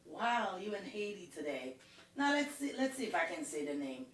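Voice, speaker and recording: A woman's voice: lowish voice, normal speed, far from the mic, a crowd in the background. Playful woman host in an echoey room. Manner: playful, teasing, animated. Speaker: podcast host. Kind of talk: casual interview hosting.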